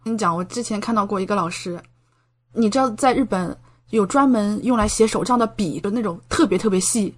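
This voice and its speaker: A woman's voice: mid-range voice, a little fast. A young woman chatting casually as a podcast guest, explaining things with plenty of concrete examples. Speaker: podcast guest, medical PhD student studying in Japan.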